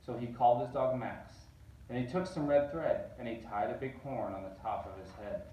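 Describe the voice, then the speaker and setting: A man reading a picture book aloud, animated and rising on repeated words. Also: medium pitch, moderate pace, a little hiss or hum. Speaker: reader. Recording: roomy echo, distant mic.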